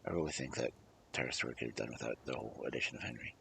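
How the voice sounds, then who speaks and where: A man reading fairy tales aloud as a storyteller, with a husky voice and a dramatic delivery that moves between hushed and emphatic. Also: very deep voice, racing, a little hiss or hum. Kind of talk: expressive fairy-tale narration, read aloud.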